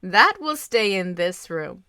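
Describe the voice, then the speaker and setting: Woman doing a motherly folksy older society lady, bright forceful voice, really slow, kind and blunt, radio play style. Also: lowish voice, sing-song, clear, resonant tone, full character voice. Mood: kindly, frank. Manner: folksy, drawn-out, emphatic. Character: kindly, plain-spoken, motherly middle-aged society woman with large warmth.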